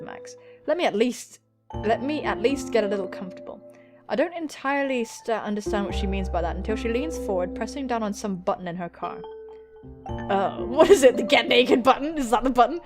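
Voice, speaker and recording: An excitable, giggly young woman reading a visual novel aloud and adding dramatic, sweary commentary as a gaming host. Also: mid-range voice. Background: music.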